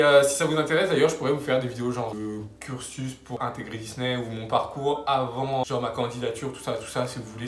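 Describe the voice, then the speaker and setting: A man's voice: medium pitch, a bit bright, reverberant. A young man from France vlogging at home in a slangy, rambling, upbeat way. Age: young adult. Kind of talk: casual vlog talk. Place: room at home, building works nearby.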